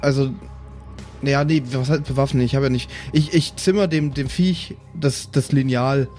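A man with a mid-pitched voice plays an improvised tabletop game. He talks casually and haltingly, poking fun at himself, with music running underneath. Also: fairly even intonation, a little mellow. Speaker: player in a tabletop role-playing game.

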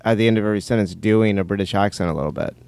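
A man co-hosting a comedy podcast who teases the host with deadpan jabs. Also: lowish voice, rapid-fire. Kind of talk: comedic banter. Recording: roomy with long reverb and clear music.

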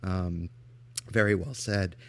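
A man's voice: low-pitched, steady tone, slow pace, a bit bright, no echo. A man hosting a podcast interview, affirming his guest and asking questions with frequent ums. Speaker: podcast host.

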